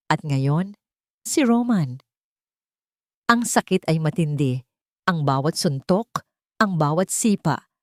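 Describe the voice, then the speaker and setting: Low-voiced woman narrating a drama, dry recording. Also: darkish tone, no echo. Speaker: audiobook narrator. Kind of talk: scripted dramatic story narration.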